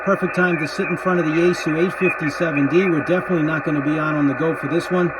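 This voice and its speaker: A man's voice: on the high side, steady tone, fast, heavy background noise. A man who makes amateur radio videos, speaking casually as he narrates and operates.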